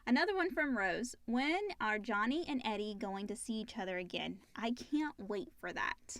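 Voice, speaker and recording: A woman's voice: on the high side, lively intonation, moderate pace, a bit bright. A woman co-hosting a casual podcast, reading out listener questions and chatting about them.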